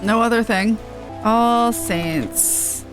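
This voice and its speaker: A woman's voice: on the high side, very slow, rough recording. A woman streamer giving casual, offhand playthrough commentary on a video game, with some swearing. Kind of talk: casual playthrough commentary with read-aloud dialogue. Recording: game music behind the voice. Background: video game music.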